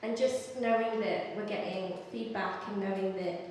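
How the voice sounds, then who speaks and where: A woman's voice: mid-range voice, normal speed, a little mellow, a little hiss or hum. A woman in her twenties from South London, a photography trainee talking casually, with "like" and "um". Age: 20s. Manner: casual, says "like" and "um". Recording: echoey hall, distant mic.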